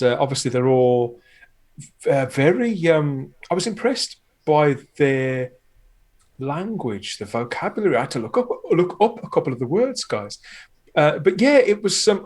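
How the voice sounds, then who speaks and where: A man's voice: a little high, darkish tone. A man chatting casually as a podcast guest, effusive and rambling as he talks. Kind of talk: casual chat.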